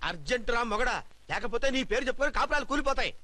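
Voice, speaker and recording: An actor playing a frantic, exasperated associate of a bridegroom in a Telugu film comedy, pleading urgently. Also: male voice, extremely high pitch, animated, racing, pushed and bright, no room sound, full character voice.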